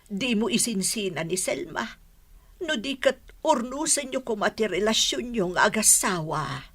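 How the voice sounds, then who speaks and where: A woman with an Ilocano accent playing a worried, pleading mother in a radio drama, in a husky voice. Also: medium pitch, animated, normal speed, fairly bright tone. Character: pleading elderly mother, anxious to mend her son's marriage.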